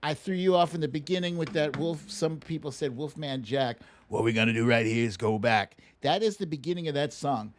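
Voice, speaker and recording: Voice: mid-range voice, brisk, hardly any pauses. An American livestream host chatting casually and reading out viewer names in a playful, self-deprecating way during a song guessing game.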